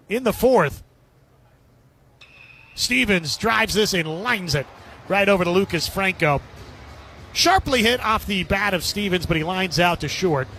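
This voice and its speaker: A man's voice: high voice, creaky, fairly bright tone, husky. A man doing live radio play-by-play commentary of a baseball game.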